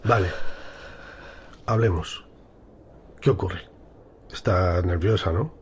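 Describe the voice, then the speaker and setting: A man's voice: sits low, takes it slowly. A man playing a demanding but concerned sports coach in an audio drama. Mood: concerned, steadying. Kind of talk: acted audio drama dialogue. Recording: noticeable background noise.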